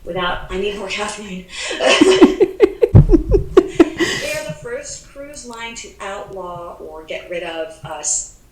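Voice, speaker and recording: A woman's voice: medium pitch, expressive, slow. Chatty American woman in an echoey room. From United States. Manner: chatty, laughs often, restarts her sentences. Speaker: travel advisor, podcast guest. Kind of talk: casual podcast chat. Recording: echoey room with faint background noise.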